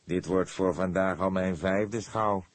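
A man voicing an eccentric old coroner in an audiobook, creaky and calm, morbidly matter-of-fact. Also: low-pitched, measured pace, no echo. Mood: sedate.

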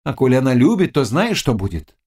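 A man voicing a mocking, scheming Cossack witch woman in an audiobook, with loud, taunting exclamations. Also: mid-range voice, sing-song, a little fast, husky, pitched above the performer's own voice. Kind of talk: audiobook character dialogue.

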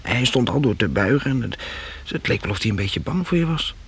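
A man's voice: medium pitch, animated, brisk, breathy edge. A man playing an earnest, modest young man in a radio play, speaking hesitantly and informally. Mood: shy, earnest.

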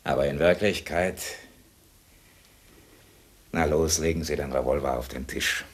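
An actor playing a cool, sardonic escaped convict in a German radio crime drama, mocking and coaxing with cunning politeness. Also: male voice, a bass voice, unhurried, breathy edge, heavily acted. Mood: taunting.

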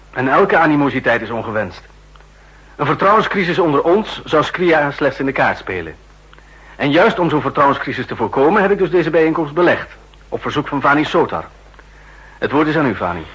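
An actor playing a stern older general who chairs a tense meeting, speaking formally in a 1960s radio play. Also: male voice, medium pitch, animated, brisk, fairly bright tone, poor audio. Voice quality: husky, formal and commanding. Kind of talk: 1960s radio drama acting.

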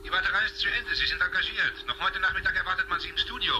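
Casting: a man playing a brisk, upbeat agent with a high voice. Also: lightly acted, low-quality recording. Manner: upbeat, businesslike. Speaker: talent agent. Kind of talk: 1970s radio play acting.

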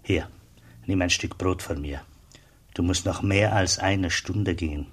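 A friendly customs guard in a radio play, low husky voice, kind and welcoming. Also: male voice, a little slow. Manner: kindly, hospitable. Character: friendly border customs officer.

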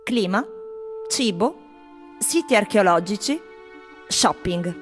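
A woman's voice: mid-range voice, animated, slow. A woman playing foreign tourists in Rome, reciting interview answers expressively in a theatrical radio piece. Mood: declarative. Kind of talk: scripted theatrical monologue in Italian radio drama. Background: faint music.

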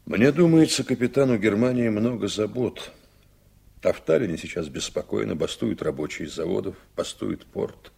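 An actor playing a calm, respectful seaman in a Soviet radio drama, speaking in a measured, earnest, restrained way. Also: on the low side, gravelly, slightly rough, full character voice. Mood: earnest conviction.

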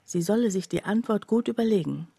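A woman acting in a radio play as a reflective friend who narrates in a confiding, measured way. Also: mid-range voice, gravelly, mellow, breathy edge, no room sound. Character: reflective woman narrator, loyal, envious friend of accused mother.

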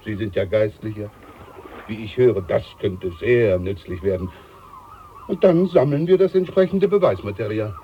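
A man's voice: on the low side, lively intonation, normal speed, narrow, tinny audio, a little hiss or hum, close to an everyday voice. A man playing a jovial, scheming older ship's doctor in a German radio play, pompous, overfamiliar and emphatic.

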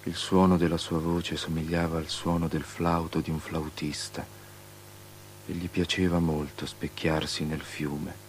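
An actor playing a grieving, devoted young soldier's friend in a solemn, poetic radio drama monologue. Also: male voice, a bass voice, little pitch movement, measured pace, a little hiss or hum. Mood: mournful. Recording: radio drama with music underneath.

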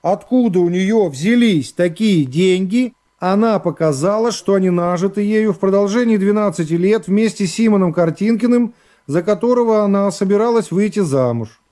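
Guy acting a stuffy court secretary reading charges for radio, high soft voice, drawn out and formal. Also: moderate pace, natural voice. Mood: solemn, official. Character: male court secretary droning out a formal indictment. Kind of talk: radio play character acting.

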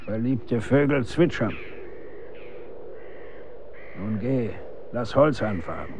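An actor playing a gruff, middle-aged executioner and stern father in a radio drama, brusque and speaking in archaic wording. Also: mid-range voice, expressive, slow pace. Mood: stern, foreboding.

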